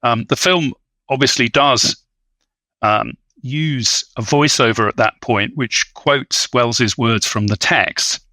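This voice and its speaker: Bright, articulate scholarly man, dry sound. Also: on the low side, no room sound, rough recording. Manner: articulate, scholarly, bookish vocabulary. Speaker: podcast panelist. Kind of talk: analytical film discussion.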